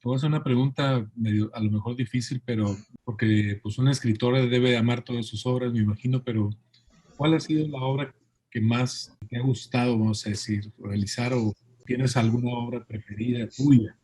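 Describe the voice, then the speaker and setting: A man's voice: medium pitch, fairly even intonation, moderate pace, warm tone, husky, poor audio. A man hosting a podcast, asking polite, informal questions. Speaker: podcast host. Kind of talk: casual interview questions.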